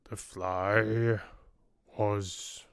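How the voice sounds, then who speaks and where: A man voicing an ancient, solemn and gentle tree-giant who narrates a fairy tale audiobook in a deliberate, measured manner. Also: lowish voice, level tone, creaky, clean tone, no echo, studio quality, full character voice.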